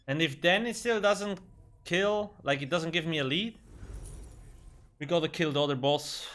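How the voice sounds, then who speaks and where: Bright-voiced Swiss German streamer man. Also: fairly high pitch. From Switzerland. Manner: loose and profane, muttering reactions between chat. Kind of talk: casual gameplay commentary.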